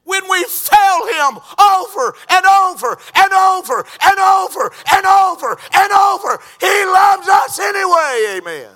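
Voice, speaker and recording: A man preaching a sermon in a church, swinging from quiet talk to shouted crescendos. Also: very high-pitched, sing-song, a little slow, few pauses, a faint crowd.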